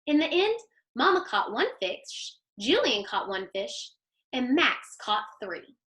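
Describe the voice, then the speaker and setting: A woman giving an expressive, teacherly picture-book read-aloud and putting questions to young listeners. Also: a little high, takes it slowly. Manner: animated, teacherly. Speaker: teacher reading aloud to children.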